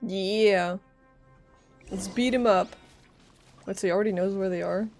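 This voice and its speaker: A woman's voice: mid-range voice, fairly even intonation, a little slow, darkish tone. A woman live-streaming a game with casual, chatty and playful commentary. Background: faint game music.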